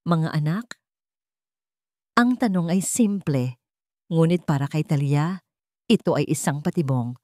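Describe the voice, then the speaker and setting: A woman's voice: deep voice, animated, moderate pace, warm tone, dry recording, studio quality. A woman narrator reading a scripted story in a dramatic storytelling style.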